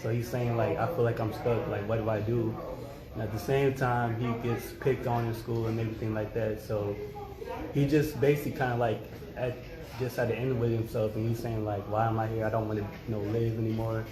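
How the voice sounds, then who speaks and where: A man's voice: fairly low pitch, fairly quick. A man in his twenties leading a casual youth discussion, talking loosely with lots of fillers. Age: 20s. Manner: relaxed, filler-heavy, thinking aloud. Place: indoor meeting room at a ministry event. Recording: echoey room with crowd murmur.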